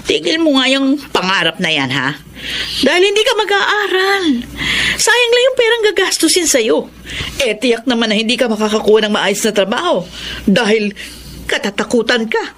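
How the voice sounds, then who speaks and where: A harsh, money-minded mother in a Filipino radio drama. Her voice sits fairly high and swoops widely as she scolds and belittles, over faint noise. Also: big pitch swings, moderate pace, a bit bright. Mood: harsh scolding. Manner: scolding, dismissive.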